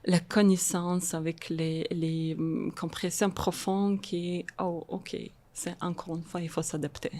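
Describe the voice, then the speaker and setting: A woman from Moldova, speaking French as a guest in a podcast interview, halting, with many restarts and fillers. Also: on the low side, level tone, warm tone. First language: Russian. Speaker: guest, social worker and art therapist.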